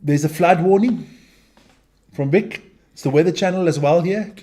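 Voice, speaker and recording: High voiced guy joking around on a rugby show. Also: normal speed, dark tone. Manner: joking, swears often, mock-Italian number calling. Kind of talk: casual banter, reading out team lineups.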